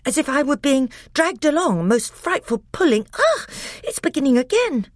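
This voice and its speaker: A woman's voice: lowish voice, huge pitch swings, fairly quick, fairly bright tone, slightly rough, no room sound, studio quality, voice pushed up, exaggerated voice. A woman voicing a plucky schoolboy in a storybook, speaking in breathless, urgent exclamations. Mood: alarmed, urgent. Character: excitable, plucky, frightened schoolboy.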